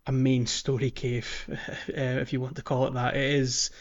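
A man's voice: mid-range voice, monotone, moderate pace, muffled recording. A man co-hosting a casual video game podcast, talking in a hesitant way as he searches for his words. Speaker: podcast co-host.

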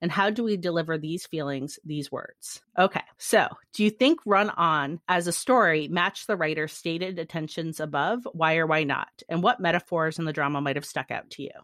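A married woman hosting a podcast, reading out discussion prompts and reflecting calmly on a drama. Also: deep voice, normal speed, few pauses, no echo, clean studio sound.

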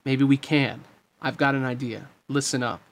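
A man's voice: medium pitch, steady tone, moderate pace, close to an everyday voice. A man voicing an impassioned young street-rat hero, urgent and earnest, in a storybook reading. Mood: resolved.

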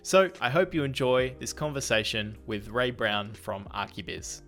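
A man hosts an interview podcast. His voice sits at a middling pitch for a male speaker. There is faint music in the recording. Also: animated, normal speed, fairly bright tone. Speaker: podcast host, marketing consultant. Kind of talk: podcast introduction and conversational interview.

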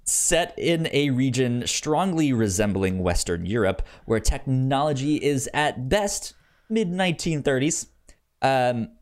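A man co-hosts a casual podcast with a lively, varied intonation. He reads pitches aloud at an unhurried, slow pace. He often stammers and repeats syllables as he goes. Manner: stammers over words when reading aloud. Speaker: podcast co-host. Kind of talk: casual podcast chat, reading out show pitches.

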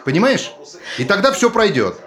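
High-pitched, volatile husband and newsman. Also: very animated, fairly bright tone. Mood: insistent, mocking. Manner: sarcastic, insistent, swearing, halting. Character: agitated TV newsman, father and husband, scornful and defensive. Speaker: stage actor. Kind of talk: naturalistic stage drama dialogue.

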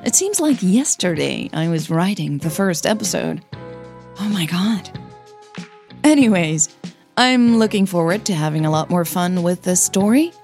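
Low, unhurried woman narrator. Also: animated, a little mellow, faint music behind. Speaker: writer and narrator of an audio drama series. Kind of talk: scripted narration and podcast address to listeners.

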